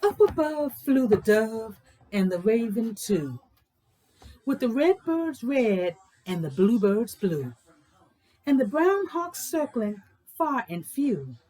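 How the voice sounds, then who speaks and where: A woman presenting and reading children's books aloud in an informal, explanatory way with deliberate, emphatic phrasing. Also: medium pitch, big pitch swings, dark tone, poor audio. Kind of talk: informal book talk and storybook read-aloud.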